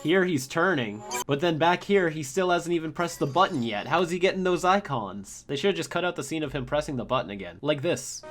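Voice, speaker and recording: A man's voice: a little high, animated, a little fast, hardly any pauses, music in the background. A man giving a casual, opinionated review commentary with informal, slangy asides. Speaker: video reviewer. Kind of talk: casual review commentary over cartoon clips.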